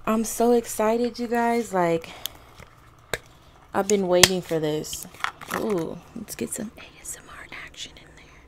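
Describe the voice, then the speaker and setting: A chatty woman with a slightly raspy morning voice hosts a laid-back podcast from home, talking slowly and taking her time. Her speech is friendly and a bit apologetic, and the faint hum of a coffee maker sits behind her. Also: lowish voice. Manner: chatty, apologetic, easily excited. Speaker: podcast host. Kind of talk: casual chat to camera. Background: coffee maker running.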